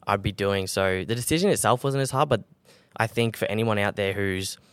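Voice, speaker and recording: A young man speaks quickly in a low, smooth, resonant voice. His delivery is calm and relaxed, full of fillers and self-corrections. The close mic sounds dry. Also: dry recording. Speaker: guest, brand co-founder. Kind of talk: casual podcast interview.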